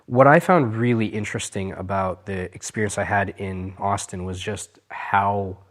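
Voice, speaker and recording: Lower-voiced man hosting a casual interview. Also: normal speed. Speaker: podcast host and interviewer.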